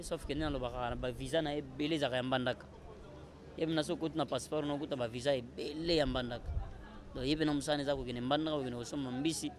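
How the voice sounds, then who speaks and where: A man in his thirties with a Congolese accent, talking casually as an interview guest. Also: fairly high pitch. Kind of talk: casual interview talk, switching languages. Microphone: handheld interview microphone. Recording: noisy outdoor handheld-mic recording.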